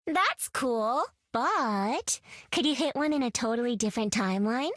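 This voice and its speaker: A young superheroine in a video game, high in pitch and recorded very dry. Her manner is perky, playful and teasing. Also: lively intonation, moderate pace, fairly bright tone, no echo. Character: cheerful, playful young female spider-powered superhero.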